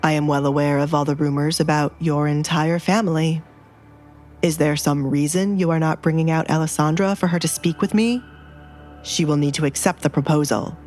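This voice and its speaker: Woman voicing a stern, low-pitched imperious duke. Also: steady tone, normal speed, a little mellow, faint music behind, close to an everyday voice. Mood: cold, insistent. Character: stern, imperious young duke, commanding and threatening. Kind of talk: audio romance drama.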